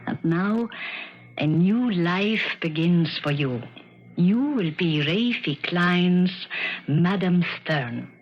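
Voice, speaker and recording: An actress playing an aging, teasing and affectionate piano coach in a theatrical 1970s radio drama. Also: female voice, on the low side, expressive, slow, a little hiss or hum. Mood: tender, wry. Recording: dull lo-fi 1970s radio broadcast.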